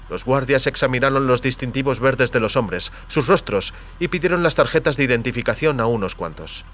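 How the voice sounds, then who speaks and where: A man with a Castilian Spanish accent narrating a dramatized audiobook in a measured, deliberate storytelling manner. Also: mid-range voice, a bit bright. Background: music under the voice.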